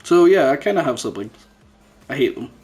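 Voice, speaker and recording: A man's voice: mid-range voice, very animated, a little fast, a little mellow, faint music behind. A man chatting casually as a podcast host on a headset, telling jokey, sweary anecdotes. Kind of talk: casual chat. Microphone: headset microphone.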